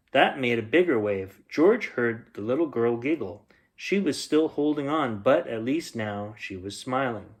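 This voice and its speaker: A man reading a children's bedtime story aloud, expressively, with dramatic emphasis and pauses. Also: medium pitch.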